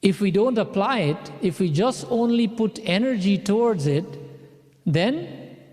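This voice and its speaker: A man's voice: high voice, expressive, a little slow, darkish tone, long reverb tail. A man from Guyana leading a satsang, preaching in a conversational way with personal stories and frequent restarts. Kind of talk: sermon.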